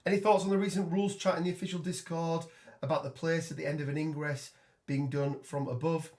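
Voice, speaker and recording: A man with a hoarse voice from a cold, chatting casually on a live stream while building terrain, with lots of ums and restarts. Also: on the high side, steady tone, fairly quick, a little mellow. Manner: rambling, many ums and restarts, reads chat aloud. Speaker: tabletop gaming streamer.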